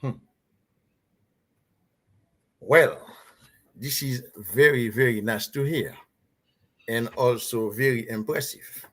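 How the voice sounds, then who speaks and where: A man's voice: mid-range voice, slow pace, long pauses, poor audio. A man hosting an interview who asks his questions in a formal, courteous way. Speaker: interviewer host.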